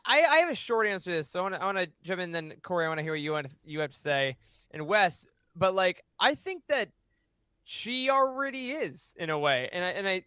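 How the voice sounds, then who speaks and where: High, bright-voiced man chatting. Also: sing-song, a little fast, smooth, no room sound, clean studio sound. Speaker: podcast panelist. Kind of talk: casual animated opinion chat. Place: small room at home. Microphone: close boom microphone.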